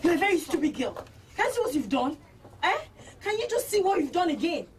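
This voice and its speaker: An actress playing a boutique owner who flatters customers and scolds her staff. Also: very high voice, fairly quick, background noise. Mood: scolding. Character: fawning, bossy female boutique owner.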